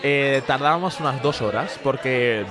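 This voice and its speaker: Bangladesh-born man with Bengali as first language, mid-pitched, patient explainer, crowd chatter behind. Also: moderate pace. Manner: patient and explanatory, with restarts and fillers. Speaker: guest. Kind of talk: casual interview, explaining history.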